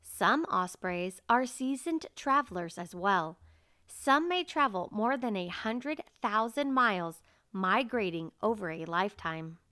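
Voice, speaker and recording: A woman reading a children's nature picture book aloud as narrator, in a measured, carefully enunciated way. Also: mid-range voice, lively intonation, sharp tone, smooth, no echo, studio quality.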